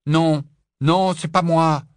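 A man voicing a guarded young boy who answers in short, quiet words. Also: fairly low pitch, steady tone, normal speed, slightly rough, no room sound, studio quality. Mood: defensive.

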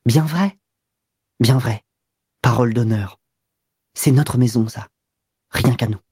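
A boastful young man in an audiobook, read by a man in his own voice. He tells his tale with dramatic confidence in a dark, husky tone. The sound is dry and close. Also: mid-range voice, takes it slowly, dry recording. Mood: assured. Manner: self-assured, dramatic storytelling.

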